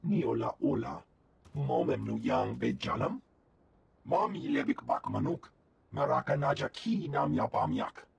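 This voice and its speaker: An actor voicing a gravelly, rasping male alien villager who speaks an invented tongue in a video game. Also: mid-range voice, expressive, normal speed, a little hiss or hum. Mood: pleading, grave.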